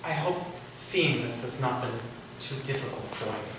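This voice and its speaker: A man playing an earnest, courteous, wistful Victorian gentleman writer in a staged play reading, polite and formal. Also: medium pitch, normal speed, natural voice. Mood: tender, restrained. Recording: echoey hall from far off, faint noise.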